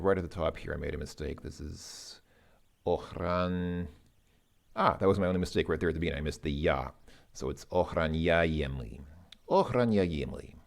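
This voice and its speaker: Male tutor-style reader, deep and gravelly, halting, dry delivery, informal walkthrough of exercises. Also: darkish tone. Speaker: language learner presenting lesson exercises. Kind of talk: informal lesson walkthrough, thinking aloud.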